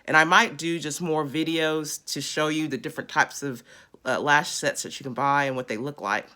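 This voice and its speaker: A woman in her thirties with a raspy voice, chatting casually while she demonstrates a beauty routine close to a phone camera indoors. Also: sits low, fairly even intonation, normal speed, pushed and bright. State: raspy after illness. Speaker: beauty vlogger. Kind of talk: casual how-to demo. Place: small indoor room.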